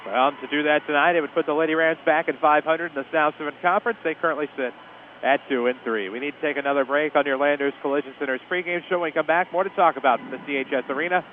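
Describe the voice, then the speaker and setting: Male local radio sportscaster, higher-pitched and quick, live from an arena on a thin phone-like feed. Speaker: play-by-play sports announcer. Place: indoor school sports arena. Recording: phone-like line, steady venue noise.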